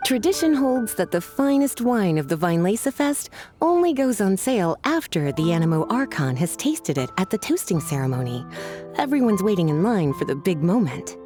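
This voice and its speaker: A woman's voice: mid-range voice, very animated, moderate pace, warm tone, faint music behind, close to an everyday voice. A woman playing a poised, languid adult lady in a video game, composed and genteel in her delivery. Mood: matter-of-fact.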